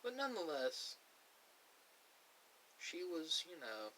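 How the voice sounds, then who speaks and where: A middle-aged man telling a personal story in a reflective way, halting often between short phrases. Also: a little high, big pitch swings, bright, forceful tone, low-quality recording. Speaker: ASMR video creator. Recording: clear background hiss.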